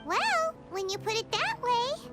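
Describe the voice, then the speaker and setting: A woman voicing a tiny, childlike, excitable fairy companion in a video game, with a small squeaky voice. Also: unhurried, heavily acted. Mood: doubtful, concerned. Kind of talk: English video game voice acting. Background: playful background music.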